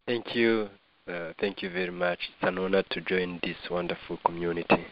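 Deep-voiced middle-aged Rwandan man, earnest. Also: very animated, creaky, dull audio. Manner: measured, earnest, formal phrasing. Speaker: doctor, interview guest. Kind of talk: reflective personal testimony in an interview.

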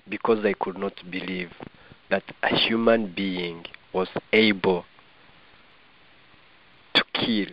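A middle-aged man with a Rwandan accent giving reflective personal testimony as an interview guest, earnest and measured, in formal phrasing, on slightly dull audio. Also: low voice, slight background noise.

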